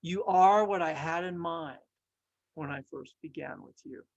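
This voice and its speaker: A patient master potter from a storybook, voiced by a woman, speaks slowly and quietly. He is gentle but firm, with a measured, reassuring delivery. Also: sits low, dry recording, muffled recording. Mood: calm, reassuring.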